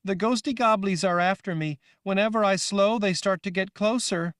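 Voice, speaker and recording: A man's voice: normal speed, fairly bright tone, clear, resonant tone, dry recording, clean studio sound. A man putting on a frightened, childlike young woman. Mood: scared pleading. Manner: high, anxious, pleading questions. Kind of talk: audiobook reading.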